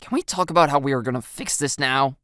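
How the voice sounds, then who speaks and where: A woman's voice: a bass voice, quick pace, fairly bright tone, no room sound, studio quality. A woman voicing an embarrassed, grumpy teenage boy in a dramatized audio story, with a blunt, pouty, exasperated manner. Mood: sulky embarrassment.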